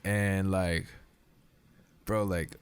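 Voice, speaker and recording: A man's voice: sits low, level tone, drawn-out words, clean tone. A young man from Hawaii, a guest musician in a casual interview, talking in a laid-back, slangy way with lots of fillers.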